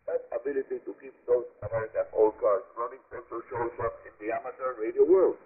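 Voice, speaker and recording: A man with a Cuban accent and native Spanish, hosting a scripted radio program in a deliberate, formal announcer style with emphatic phrasing, heard over shortwave. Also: medium pitch, steady tone, slightly rough. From Cuba. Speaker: radio host. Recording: narrow, muffled shortwave radio reception. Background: shortwave broadcast received on a radio.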